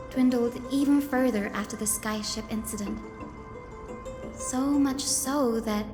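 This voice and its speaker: An actress voicing a thoughtful, curious young female scholar in a video game, articulate and given to musing explanation. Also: high voice. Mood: explanatory, regretful. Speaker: assistant lecturer at a scholarly academy. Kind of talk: video game character dialogue. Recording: echoey, long reverb, music behind. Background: music.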